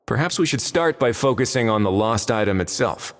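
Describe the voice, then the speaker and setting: An actor playing a calm, methodical investigator in a video game, speaking in a measured, polite, analytical way. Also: male voice, mid-range voice, in a large hall, a little hiss or hum, close to an everyday voice. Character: calm, methodical male investigator reasoning aloud.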